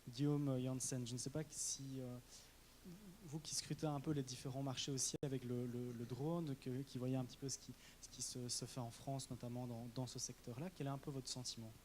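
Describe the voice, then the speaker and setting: A man moderating a panel debate, asking questions hesitantly with many pauses and restarts. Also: mid-range voice, level tone, warm tone, slight background noise.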